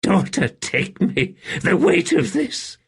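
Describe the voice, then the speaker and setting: A man voicing a young emperor in an audiobook, imperious yet fragile and weary, with a halting, strained delivery. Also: fairly high pitch, big pitch swings, creaky, fairly bright tone, breathy edge, pitched above the performer's own voice, exaggerated voice. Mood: weary despair. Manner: halting, strained, swinging between command and fragility. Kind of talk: audiobook character acting.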